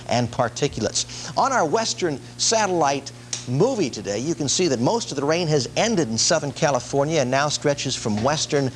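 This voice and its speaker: A faint hum and noise of an old 1970s TV tape carry this American man's voice. He delivers a local weather forecast in a folksy, conversational manner, at a medium pitch, as if chatting informally from the studio. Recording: noisy, humming 1970s TV broadcast tape.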